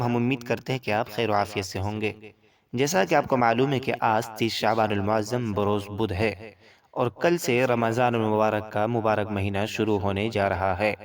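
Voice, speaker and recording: A man's voice: fairly low pitch, distant mic. A man formally reading out and explaining religious rulings from a script, addressing viewers directly.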